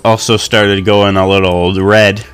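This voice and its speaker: A man's voice: lowish voice, little pitch movement, unhurried, fairly bright tone, smooth. A young man co-hosting a podcast while stuffed up with a cold, telling stories in a self-mocking, casual way. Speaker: podcast co-host.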